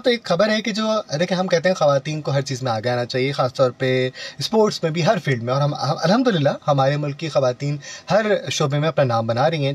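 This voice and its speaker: Pakistani guy hosting a morning radio call-in, kinda high voice, chatty and polite. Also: husky. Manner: chatty, courteous, repeats advice. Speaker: radio host.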